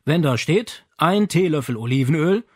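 A man's voice: a little high, lively intonation, normal speed, husky, no room sound, clean studio sound. A man playing a firm, practical adult son in an audiobook, insistent and matter-of-fact. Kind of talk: audiobook character acting.

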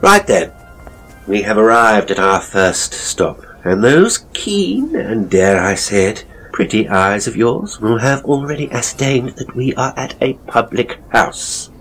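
A man's voice: fairly low pitch, very animated, unhurried, a bit bright, slightly rough, faint music behind, heavily acted, low-quality recording. A man playing a hapless, seedy ghost tour guide in an audio horror story, with a British accent and a pompous, florid, leering manner. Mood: jovial, pompous.

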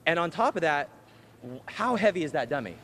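A man's voice: on the high side, sing-song, fairly quick, bright, forceful tone. Upbeat male field reporter, echoey garage. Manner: upbeat, exclaims often. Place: large fire station garage. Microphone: handheld mic. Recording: echoey garage with background noise.

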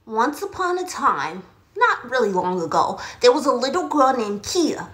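Woman playing a mischievous little girl storyteller, highish voice. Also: very animated, a bit bright. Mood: spooky storytelling. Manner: theatrical, drawn-out storytelling. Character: mischievous little girl Coco, cookie-loving tall-tale teller. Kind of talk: comedy skit storytelling. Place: small bedroom, indoors. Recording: roomy echo, faint music behind.

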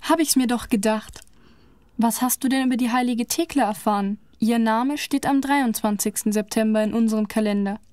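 Actress as inquisitive daughter, higher female voice, dry sound, scripted audio drama. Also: moderate pace, darkish tone, dry recording, close to an everyday voice. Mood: curious. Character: curious daughter questioning her father.

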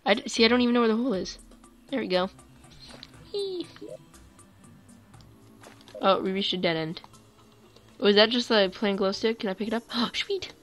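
A woman casually commentating her own gameplay for viewers, chatty and quick to get excited. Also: mid-range voice, soft background music. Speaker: gaming YouTuber. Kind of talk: let's play commentary.

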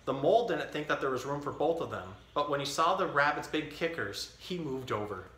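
A man in his thirties hosting a storytime, reading a children's picture book aloud with expressive, clearly enunciated delivery. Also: fairly high pitch, fairly quick, a little hiss or hum. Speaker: storytime host. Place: indoor tiled-floor room. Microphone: camera a few feet away. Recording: echoey room.

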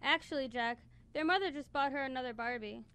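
A woman's voice: high-pitched, fairly quick, pushed and bright. A woman acting a kind, persistent young female toy, coaxing and earnest, in a student radio play. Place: college radio studio, live broadcast. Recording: clear background noise in radio studio.

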